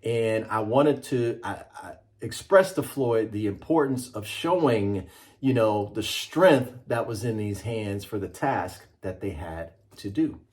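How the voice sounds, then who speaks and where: A man's voice: fairly low pitch, a little slow, a little mellow. A middle-aged man, a children's author, presenting and reading a picture book aloud with an emphatic, rhythmic delivery.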